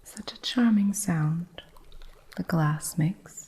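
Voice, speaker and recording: A woman voicing a stern, impatient witch who runs a curio shop, in a soft whispered ASMR roleplay with a strict, haughty manner. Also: lowish voice, slightly rough, full character voice. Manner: strict, haughty, slow and deliberate.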